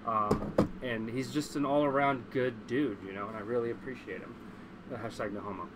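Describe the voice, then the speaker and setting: Male livestream host, average pitch, congested, casual and sweary with strong opinions; noticeably noisy recording. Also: normal speed. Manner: blunt, profane, opinionated.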